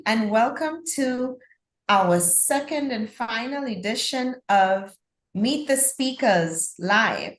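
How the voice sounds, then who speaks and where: A woman's voice: on the high side, roomy sound. A woman hosting a live interview, courteous and chatty. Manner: courteous and chatty, light laughter. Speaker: host and court official.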